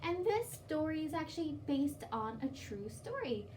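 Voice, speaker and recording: A woman's voice: high voice, very animated, normal speed, darkish tone. A woman hosting storytime, reading aloud and explaining to young children in simple, instructive phrases. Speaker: children's storytime host at a library. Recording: distant mic, faint background noise.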